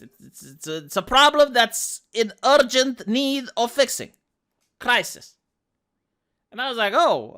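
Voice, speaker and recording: A woman with a Romanian accent and a squeaky voice, hosting sarcastic political commentary with rambling restarts. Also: pushed and bright. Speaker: commentary channel host.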